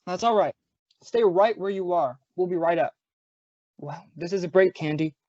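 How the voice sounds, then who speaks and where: Woman doing a teasing exasperated cop inspector, lowish voice, old radio mystery, close dry muffled sound. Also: moderate pace, mellow, no room sound, lightly acted. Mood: businesslike. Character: male homicide police inspector, affectionate, exasperated, wry. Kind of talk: old-time radio detective drama acting.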